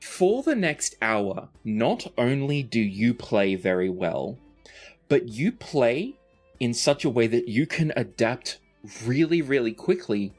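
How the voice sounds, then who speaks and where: A man's voice: mid-range voice, very animated, takes it slowly, darkish tone. A man narrating a tabletop roleplaying game as game master, with music playing behind him. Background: background music.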